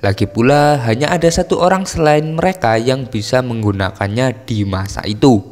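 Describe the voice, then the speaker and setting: A man's voice: mid-range voice, normal speed, a little mellow, big-room sound. A man with an Indonesian accent narrating a story recap in a casual, scripted style. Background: music.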